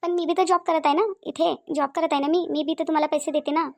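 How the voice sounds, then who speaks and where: A woman's voice: high voice, fairly even intonation, quick pace, gravelly, a little mellow. A woman playing a stubborn, bossy city wife in a cartoon, arguing insistently and repeating questions in a Vidarbha Marathi accent. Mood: argumentative. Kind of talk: cartoon dialogue.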